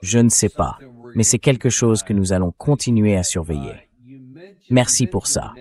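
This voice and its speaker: A man's voice: lowish voice, expressive, normal speed, darkish tone. A man hosting a political interview, heard as a dubbed translation. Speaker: host, interviewer.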